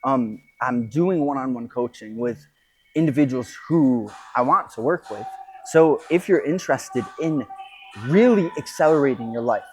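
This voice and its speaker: A man speaking off the cuff as a podcast host and coach, in an emphatic, halting motivational monologue with pauses and repetition. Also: medium pitch, animated, slight background noise.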